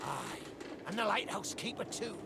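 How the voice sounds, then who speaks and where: An actor playing a greedy, roguish old skull in a video game, with a theatrical storytelling manner. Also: male voice, high-pitched, very animated, normal speed, gravelly, heavy background noise, heavily acted. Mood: storytelling, gloating. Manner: theatrical storytelling, archaic pirate dialect. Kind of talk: video game character acting.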